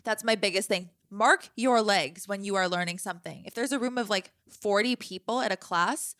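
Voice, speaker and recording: A young woman with a bright, crisp voice chats as a podcast co-host. She gives blunt, practical advice with firm emphasis. The recording is dry and close. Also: mid-range voice, moderate pace, no echo. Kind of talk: casual chat.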